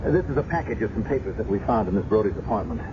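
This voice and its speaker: A man playing a low-voiced, dogged police detective. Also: animated, very fast, natural voice. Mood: businesslike. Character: dogged, businesslike male police detective sergeant. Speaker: police sergeant investigator. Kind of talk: 1970s radio drama acting. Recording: muffled noisy 1970s radio recording.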